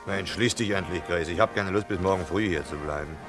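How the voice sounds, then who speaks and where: A man playing a grumbling, impatient boyfriend ordering a snack in a German radio drama, with a gruff manner. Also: sits low, brisk, over music. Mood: impatient, resigned.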